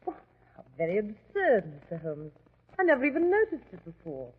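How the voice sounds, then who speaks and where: An actress playing an anxious, polite young gentlewoman, earnest and deferential, in 1940s radio drama style. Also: medium pitch, wildly swooping pitch, fairly quick, breathy edge. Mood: polite, puzzled. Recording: tinny, reverberant 1940s radio recording.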